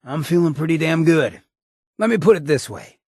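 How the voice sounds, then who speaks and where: An actor playing a swaggering, sardonic ghoul gangster companion in a video game, with a gravelly rasp and a wry, streetwise drawl. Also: male voice, fairly high pitch, animated, normal speed, a little mellow, no room sound, clean studio sound. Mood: pleased, warm. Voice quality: gravelly, raspy.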